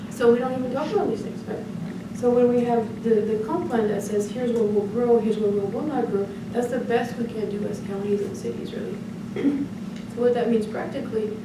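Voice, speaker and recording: A woman's voice: on the high side, normal speed. A woman from France with a French accent, a planning professor giving a public talk, thinking aloud with restarts and frequent "right?". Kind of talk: public lecture. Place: senior center meeting room. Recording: very echoey room, far from mic, loud background noise.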